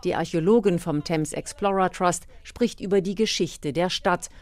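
A female reporter narrates a scripted radio feature. Her voice sits a little low for a woman, in the measured delivery of a prepared report. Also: a little mellow, no room sound.

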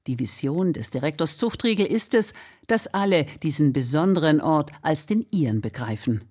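Low-voiced woman narrating a radio feature. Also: animated, moderate pace, darkish tone. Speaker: radio reporter. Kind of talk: scripted feature narration with read translations.

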